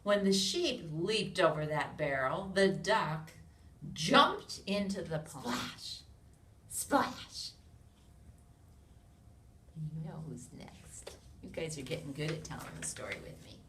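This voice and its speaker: An American woman reads a picture book aloud to young children in an expressive way, with sound effects, questions and hushed asides to her listeners. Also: low-pitched, slow pace. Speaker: children's read-along reader. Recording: roomy, distant mic with noise.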